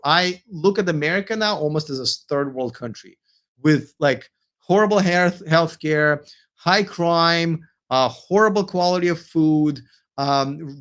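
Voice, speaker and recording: A man's voice: fairly high pitch, fairly bright tone. A man from Russia with a Russian accent, hosting a late-night live stream. He reads viewer comments and answers them, sounding tired, with many fillers, restarts and hesitations.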